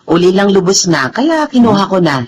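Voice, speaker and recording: Babaeng gumaganap bilang masiglang tiyahin, pabago-bago ang tono. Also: female voice, medium pitch, normal speed, a little hiss or hum, close to an everyday voice. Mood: cheerful. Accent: Filipino. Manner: motherly, expressive. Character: kindly, encouraging middle-aged aunt. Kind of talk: Filipino radio-style drama acting.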